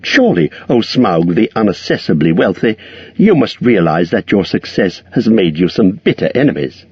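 A man voicing a timid small male hobbit who talks in a high, frightened, fawning squeak. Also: very animated, moderate pace, darkish tone, husky, no echo, heavily acted. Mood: defiant. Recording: dull lo-fi sound.

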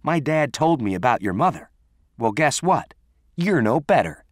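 A man's voice: a little high, sing-song, takes it slowly, breathy edge, dry recording, studio quality, pitched above the performer's own voice, heavily acted. A man voicing a spiteful, hot-headed teenage girl who hollers confrontationally in an audiobook story. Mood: furious, taunting.

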